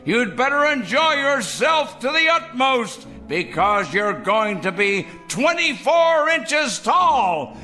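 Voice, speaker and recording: An actor playing a fanatical, self-righteous, paranoid man, ranting excitably in theatrical radio drama style. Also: very high voice, takes it slowly, few pauses, soft background music, full character voice. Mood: furious, accusing. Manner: ranting, excitable, muttering and crooning to a pet. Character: fanatical, paranoid, self-righteous male crank obsessed with punishing evildoers.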